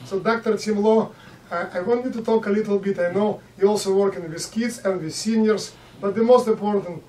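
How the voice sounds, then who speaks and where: High-voiced man moderating, distant and roomy. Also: steady tone, a little fast, a little mellow. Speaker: forum moderator and host. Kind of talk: addressing panel at community forum. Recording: roomy, distant mic, faint noise.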